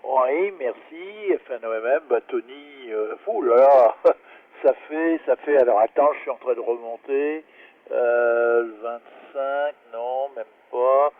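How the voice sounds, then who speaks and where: A man's voice: medium pitch, takes it slowly. Man on a distant, phone-like radio link. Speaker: amateur radio operator. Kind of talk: casual radio chat.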